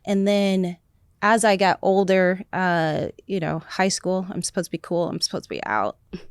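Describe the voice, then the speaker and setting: A woman's voice: lowish voice, moderate pace, smooth, no echo, studio quality. A woman talking as an interview guest in casual chat, enthusiastic and rambling, with many fillers.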